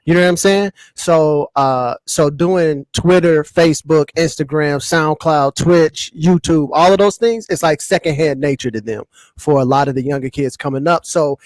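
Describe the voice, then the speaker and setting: A man's voice: fairly high pitch, dry recording. A man in his forties with an American accent in casual podcast panel chat, speaking in slang and repeating himself for emphasis.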